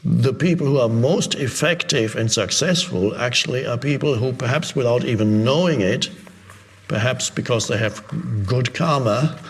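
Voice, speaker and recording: A man giving an unscripted spiritual talk as a teacher, reflective and halting, pausing and rephrasing as he goes. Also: medium pitch. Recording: long reverberant hall echo.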